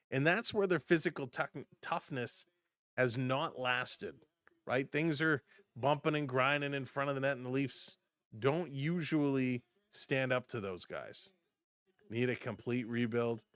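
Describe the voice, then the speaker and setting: Hoarse-edged man calling hockey play-by-play. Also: medium pitch, a bit bright, dry recording. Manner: brisk play-by-play, chatty asides to viewers. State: voice getting hoarse. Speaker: fan stream commentator. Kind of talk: live play-by-play and chat reactions.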